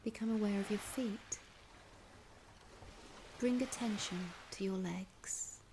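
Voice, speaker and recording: A woman narrating a scripted guided imagery meditation in a soft, soothing, breathy voice, gently instructing with long pauses. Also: mid-range voice, fairly even intonation. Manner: unhurried, with long pauses between phrases. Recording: clear background ambience noise.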